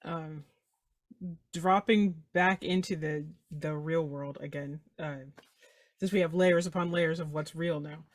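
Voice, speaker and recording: A man playing a tabletop roleplaying game, explaining in short, measured phrases and checking in as he goes. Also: fairly high pitch, fairly even intonation, no room sound. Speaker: player.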